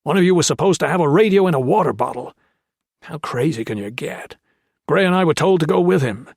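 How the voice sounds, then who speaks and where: A man's voice: fairly high pitch, lively intonation, fairly quick, breathy edge, no echo, clean studio sound, pitched above the performer's own voice. A male narrator voicing a blunt, shrewd officer who barks orders. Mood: gruff, commanding.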